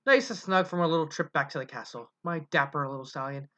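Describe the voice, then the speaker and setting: Reader doing a calm gracious pony princess, low soft voice, bright tone. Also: fast talker, natural voice. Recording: dull lo-fi recording.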